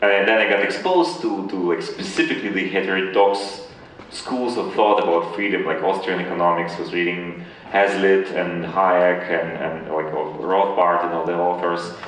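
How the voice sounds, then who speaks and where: Echoey, low-voiced man speaking haltingly. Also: fairly bright tone. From Ukraine. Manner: hesitant, halting, repeating words. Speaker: interview guest, tech founder. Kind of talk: conversational Q&A answers. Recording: very echoey room, distant mic, faint noise.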